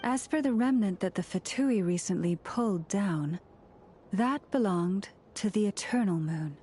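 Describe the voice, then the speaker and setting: An actress playing a solemn, gentle keeper of ancient lore in a game cutscene, telling legends in a measured, storytelling way. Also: female voice, medium pitch, animated, slight background noise, lightly acted. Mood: grave, wistful. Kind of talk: scripted game cutscene narration.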